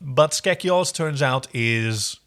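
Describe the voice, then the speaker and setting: A man's voice: medium pitch, expressive, takes it slowly, a bit bright. A man hosting a podcast, casually recapping a TV episode in an enthusiastic, jokey way. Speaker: podcast host.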